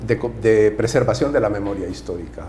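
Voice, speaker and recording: A Jesuit priest from Nicaragua answers questions in a soft-spoken, measured way and sometimes corrects himself mid-sentence. His voice sits a little low. An echoey studio with a faint hiss surrounds him. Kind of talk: interview answers.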